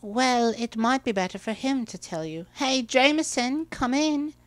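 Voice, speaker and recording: A man voicing a calm, formal adult female pony princess in a story reading. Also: extremely high pitch, a little slow, no room sound, pitched above the performer's own voice, full character voice. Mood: patient. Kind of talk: dramatic fan-fiction reading.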